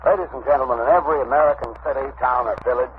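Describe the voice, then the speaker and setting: Male vintage radio announcer, medium pitch, scripted delivery, narrow noisy broadcast sound. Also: racing. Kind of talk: old-time radio announcing.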